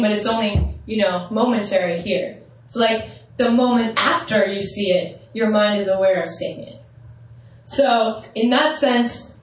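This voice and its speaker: A woman's voice: fairly high pitch, recorded from a distance. A woman teaching meditation with a strong, carrying voice, guiding listeners through visualizations and often checking in with them. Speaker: spiritual teacher. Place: large echoey meditation hall. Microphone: speaking without a microphone. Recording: roomy hall echo, voice off-mic.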